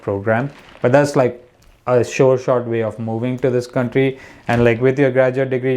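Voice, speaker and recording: A man's voice: medium pitch, darkish tone. A young man from India, a comedian guest, talking casually with many restarts and fillers. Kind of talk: casual conversation.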